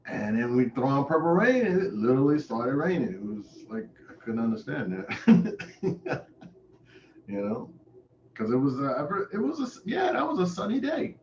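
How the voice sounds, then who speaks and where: A man's voice: mid-range voice, sing-song, moderate pace, distant mic. A man who is a DJ being interviewed, telling stories in an informal, casual way. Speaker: DJ, interview guest. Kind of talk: casual chat. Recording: roomy, dull, noisy call audio.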